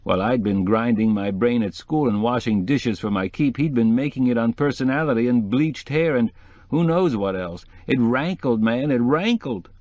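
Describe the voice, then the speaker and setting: A man's voice: medium pitch, animated, moderate pace, darkish tone, dry recording, voice pushed up, close to an everyday voice. A man voicing a witty, flamboyant decorator in an audiobook, sprinkling French phrases into hip slang. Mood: rankled, candid. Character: flamboyant, witty male interior decorator, sly and gushing. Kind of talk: acted audiobook dialogue.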